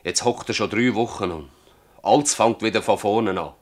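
A man acts out an older village farmer in a radio play, with a fairly low voice and a Swiss German accent. He is stubborn and troubled, blunt in his words and plaintively insistent. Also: moderate pace, lightly acted. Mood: distressed, pleading. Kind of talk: radio drama acting in dialect.

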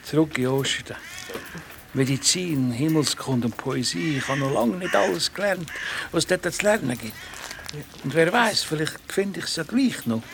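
A man's voice: a little high, animated, normal speed, vocal fry, background noise. A man playing a kindly, patient old wandering pilgrim scholar in a children's radio play, with a Swiss German accent and an encouraging, musing manner. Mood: restless, resigned. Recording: music behind the voice.

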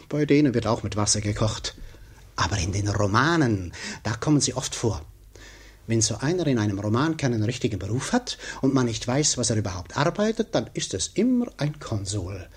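A man's voice: medium pitch, animated, brisk, darkish tone, husky. A man playing a pompous, fretful everyman who mutters to himself in a 1950s radio comedy monologue, swinging between grand hopes and grumbling doubt. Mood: daydreaming, puffed up. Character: pompous, fretful everyman daydreaming aloud of riches and status.

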